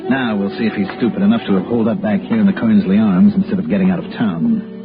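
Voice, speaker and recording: A man's voice: fairly low pitch, fairly even intonation, fast, natural voice. A man acting as a tough, sardonic American investigator in a 1960s radio noir, clipped and wisecracking. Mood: wry narration. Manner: clipped, wisecracking, hard-boiled. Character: tough, sardonic male freelance insurance investigator. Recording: dull 1960s radio recording with music.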